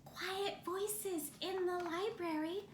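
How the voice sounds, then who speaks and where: A woman voicing a small, polite male mouse from a children's storybook, helpful and gently chiding in a hushed library voice. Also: very high voice, fairly even intonation, takes it slowly, voice pushed up. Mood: hushed, reminding. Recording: roomy echo, faint background noise.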